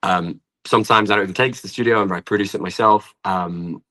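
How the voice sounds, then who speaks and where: Deep-voiced young British man, bright tone. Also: steady tone, fast. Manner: rambling, informal, frequent "like". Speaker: guest singer-songwriter. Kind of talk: casual interview chat. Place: at home in an apartment.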